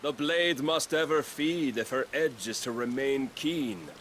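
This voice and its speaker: An actor playing a fanatical, grandiose villain king who preaches salvation in deliberate, archaic oratory for a fantasy game cutscene. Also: medium pitch, background noise, close to an everyday voice. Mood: contemptuous.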